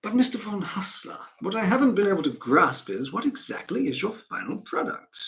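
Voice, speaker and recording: A man's voice: sits high, big pitch swings, a little fast, gravelly, fairly bright tone, a little hiss or hum, voice pushed up, heavily acted. Man acting a formal, conflicted banker. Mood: doubtful. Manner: formal, hesitant, regretful. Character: reserved, conflicted English banker and busy father.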